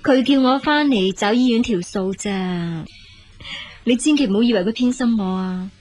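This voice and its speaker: A firm, practical big sister in a radio drama, played by a woman. Her voice sits fairly high, and she speaks frankly in brisk, reassuring, matter-of-fact Cantonese. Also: a little mellow, natural voice. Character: practical, dutiful elder sister, married emigrant, firm and frank.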